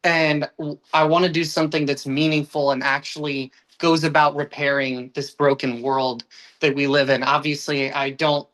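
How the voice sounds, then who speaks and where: An earnest young American man speaking as a podcast guest. His speech comes in uneven bursts, sometimes slow and sometimes quick, with restarts along the way. He sounds like someone in casual conversation. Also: a little high, level tone, a bit bright. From United States.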